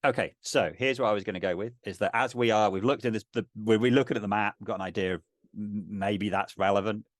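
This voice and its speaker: A middle-aged man casually narrating a tabletop game, thinking aloud in a halting way. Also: on the low side, dry recording, studio quality. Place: indoors on a video call.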